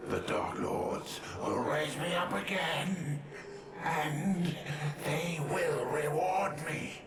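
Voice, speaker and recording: An actor playing a menacing undead servant of dark lords in a video game, hissing with contemptuous, portentous defiance. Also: male voice, fairly high pitch, echoey room, crowd noise. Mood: defiant. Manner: slow, hissing, portentous whisper. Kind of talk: video game cutscene villain acting.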